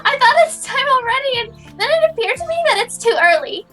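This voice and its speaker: A woman's voice: big pitch swings, a little fast, bright, forceful tone, full character voice. A woman voicing a cheerful, scatterbrained teenage girl who rambles apologetically in a visual novel read aloud. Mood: bubbly, flustered. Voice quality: very high, girlish. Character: Miku, bubbly, chatty, scatterbrained teenage girl. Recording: music behind.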